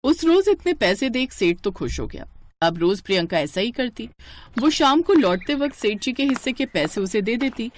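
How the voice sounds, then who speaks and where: Expressive woman storyteller. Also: a little high, moderate pace, slight background noise. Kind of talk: scripted moral story narration.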